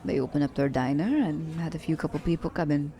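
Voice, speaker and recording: Low, mellow teasing assassin played by a woman. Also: quick pace. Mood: calm, explaining. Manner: formal, slightly stilted wording, teasing. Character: poised, teasing, condescending female assassin doting on captive werewolf. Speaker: voice actor. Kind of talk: ASMR romance roleplay.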